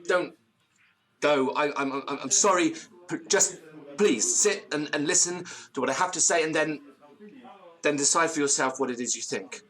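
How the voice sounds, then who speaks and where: An actor playing a nervous, theatrical, disgraced gentleman in an audio drama, with florid old-fashioned diction and a tendency to stammer. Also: a little high, moderate pace, natural voice. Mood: flustered, pleading. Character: nervous, theatrical, hard-drinking disgraced university gentleman storyteller.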